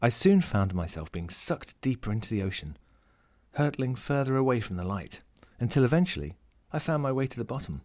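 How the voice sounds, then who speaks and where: A man's voice: mid-range voice, big pitch swings, brisk, a little mellow, natural voice. A man voicing a lonely, wry lost ring as he reads a first-person short story in a dramatic, melancholic way. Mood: resigned, reflective. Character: lonely, world-weary, wry sentient ring lost at sea.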